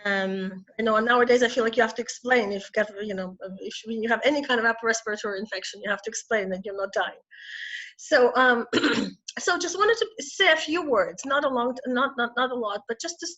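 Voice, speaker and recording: A middle-aged woman from Ukraine, hoarse with a cold, giving an online talk as a psychotherapist, clearing her throat and restarting often. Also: a little high, fairly quick, bright, forceful tone. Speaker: psychotherapist and webinar speaker. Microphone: video call. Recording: muffled lo-fi video call audio.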